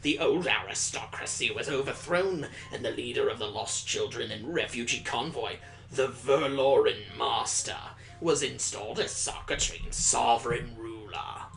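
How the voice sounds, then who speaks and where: A man voicing a grave, measured schoolteacher who lectures in a formal, deliberate way in a visual novel. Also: medium pitch, vocal fry, a bit bright, faint music behind, low-quality recording. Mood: solemn, didactic.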